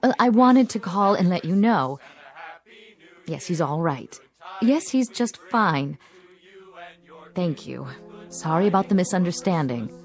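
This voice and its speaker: An actress playing a kindly, supportive housekeeper in a radio drama, polite and reassuring. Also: female voice, fairly low pitch, big pitch swings, unhurried, pauses often, faint music behind. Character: kindly, supportive housekeeper woman of an old professor.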